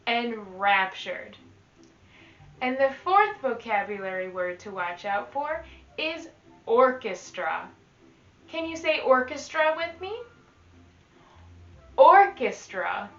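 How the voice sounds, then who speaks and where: A woman with a very bright, clear-cut delivery reads to young children at a very slow, careful pace. She is expressive and instructional, like a teacher at story time. The sound is echoey and a little dull, as if in a live room. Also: a little high. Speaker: teaching artist reading to children. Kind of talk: slow, enunciated children's read-aloud.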